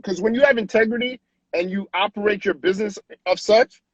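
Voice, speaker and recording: A man in his late thirties from Haiti, a guest telling stories in a casual, animated way over a video call, often tagging on questions. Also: high voice, fairly quick, dull audio. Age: 30s. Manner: animated, with frequent tag questions. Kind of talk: casual storytelling on a live stream.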